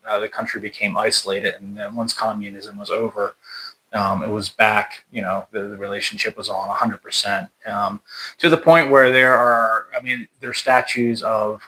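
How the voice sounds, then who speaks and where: A man's voice: lowish voice, pushed and bright, rough recording. An American man hosting a casual livestream chat, talking in a rambling way with many restarts and fillers. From United States. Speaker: channel host. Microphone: livestream mic.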